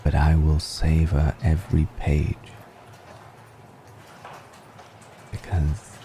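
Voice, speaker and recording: Man playing a very deep, slow, gravelly vampire. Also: mellow, slight background noise, exaggerated voice. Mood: intrigued, savoring. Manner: slow, deliberate, musing, with long pauses. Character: suave, ancient aristocratic male vampire, courtly and intrigued. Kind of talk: intimate ASMR roleplay monologue.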